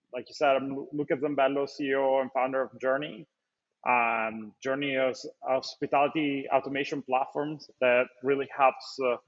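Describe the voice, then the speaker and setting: Man pitching his startup. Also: a bit bright, muffled recording. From Italy. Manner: fluent but hesitant, frequent fillers and restarts. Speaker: startup founder and CEO, guest. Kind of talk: webinar interview, business pitch. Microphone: video call.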